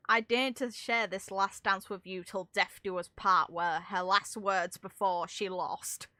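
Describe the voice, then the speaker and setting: A woman's voice: mid-range voice, steady tone, measured pace, sharp tone, no room sound. A woman talking casually and analytically through game lore, with opinionated, rambling asides. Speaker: content creator commentating on a game.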